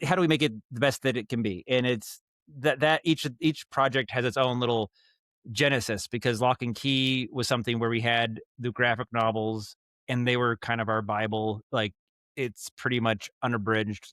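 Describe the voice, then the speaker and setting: A man with a smooth, clear voice in a dry, close recording. He talks casually as an interview guest, working out his ideas as he goes and often doubling back mid-sentence. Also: mid-range voice, steady tone, a bit bright, no room sound. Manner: halting, thinking aloud, circling back on thoughts. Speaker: podcast guest, writer and director of audio dramas.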